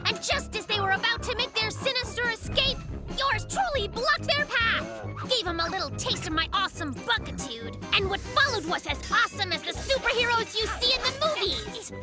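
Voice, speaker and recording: A woman voicing an excitable, boastful young brown bear cub in a cartoon, full of sound effects and big claims. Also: extremely high pitch, normal speed. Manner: hyper, boastful, dramatic storytelling with sound effects. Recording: cartoon audio with loud music.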